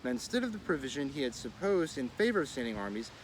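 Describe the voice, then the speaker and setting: A man reading an old political essay aloud in a measured, oratorical way, now and then stumbling and correcting himself. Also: medium pitch, very animated. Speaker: reader of a daily series. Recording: clear street and vehicle noise.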